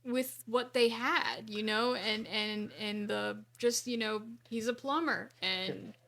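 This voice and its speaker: A woman co-hosting a podcast in casual chat, haltingly repeating words as she goes. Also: fairly high pitch, fairly even intonation, creaky, sharp tone. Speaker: podcast co-host.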